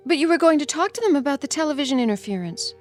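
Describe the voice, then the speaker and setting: A fretful but soothing suburban mother in radio drama style. Her fairly high voice rises and falls widely, with faint music underneath. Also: animated, rapid-fire. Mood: worried.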